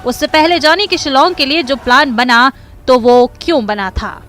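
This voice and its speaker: A woman's voice: sits high, expressive, moderate pace, a bit bright, soft background music. A woman narrating a scripted crime news report in a dramatic, emphatic manner.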